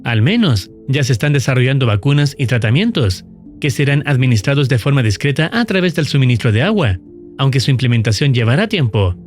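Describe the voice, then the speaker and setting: A man giving scripted, explanatory narration in a measured, formal storytelling manner. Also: medium pitch, sing-song, soft background music. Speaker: narrator of a horror series recap.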